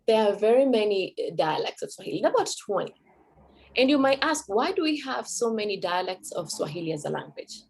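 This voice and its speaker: A woman's voice: mid-range voice, darkish tone, low-quality recording. A Kenyan woman whose first language is Kikuyu, giving a conversational talk at a cultural event as a Swahili teacher, with fillers and self-corrections.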